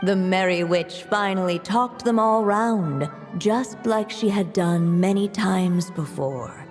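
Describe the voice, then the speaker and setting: A woman playing a gentle storybook narrator in a fantasy game, telling a fairy tale deliberately, with reverb on her voice. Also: medium pitch, natural voice. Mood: tender. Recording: long reverb, soft music.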